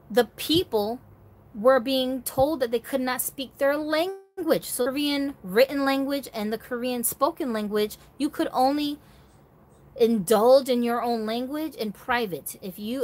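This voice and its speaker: Emphatic woman vlogger chatting casually. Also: on the high side. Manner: emphatic, conversational, seeks agreement with rhetorical questions. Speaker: host of a doll collectors' channel. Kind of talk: casual opinionated vlog talk to viewers.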